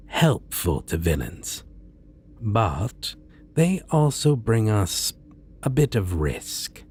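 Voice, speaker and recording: A man playing a polite, self-assured supervillain in an ASMR roleplay, speaking in a pleasant, businesslike and theatrically measured way. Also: on the low side, a little mellow, a little hiss or hum, full character voice. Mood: courteous, matter-of-fact. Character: polite, self-assured male supervillain interviewing a minion recruit.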